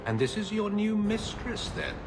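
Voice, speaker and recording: A man's voice: high voice, big pitch swings, moderate pace, over loud music. An actor playing a cruel, smug male magister who taunts his former slave in a silky, condescending way. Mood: taunting.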